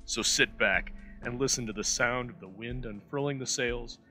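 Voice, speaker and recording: A man's voice: mid-range voice, moderate pace, bright, forceful tone, soft background music. A man hosting an old-time radio program, reading a scripted introduction.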